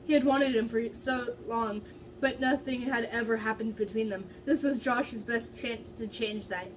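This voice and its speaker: A woman's voice: on the high side, fairly even intonation, moderate pace. A young adult woman doing an informal, dramatic amateur story reading, stumbling over the odd word. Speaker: reader of fan fiction. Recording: distant mic with loud background noise.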